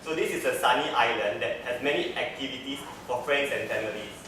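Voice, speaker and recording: Young man, Singaporean English accent, earnest student presenter, recorded from a distance in a boomy room with faint background hiss. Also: fairly quick. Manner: earnest, structured, repeats "so" to link points. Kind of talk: class presentation. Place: classroom. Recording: echoey classroom, mic far off.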